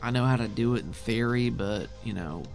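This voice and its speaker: A man's voice: medium pitch, brisk, faint music behind, lightly acted. A man playing a sardonic, mouthy winged companion in a tabletop game, talking in a casual, grumbling way. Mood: hesitant, weary. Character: Jean, big-fingered winged male companion, blustery and sardonic.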